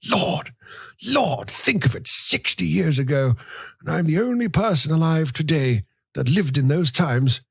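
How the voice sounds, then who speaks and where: A frail, toothless old grandfather in an audiobook. His voice is a thin, cracked falsetto, high and husky, muttering and querulous as he rambles. The sound is close and dry. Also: expressive, a little slow, no room sound, exaggerated voice. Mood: wistful, amazed. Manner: muttering, cackling, nostalgic. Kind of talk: audiobook character voice.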